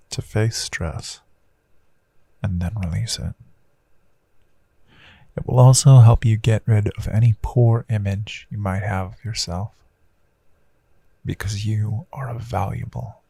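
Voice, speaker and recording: A man voicing a gentle, reassuring hypnotist in a hushed, breathy guided meditation that often slips toward a whisper, with long deliberate pauses. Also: fairly low pitch, no echo, exaggerated voice. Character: gentle male hypnotist Count, calm and reassuring. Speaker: hypnotist, audio host.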